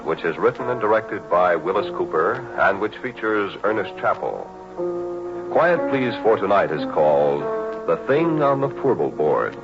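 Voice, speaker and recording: A man giving a scripted, measured, formal introduction as a 1940s radio announcer. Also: sits low, big pitch swings. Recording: muffled vintage radio with loud music. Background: loud music.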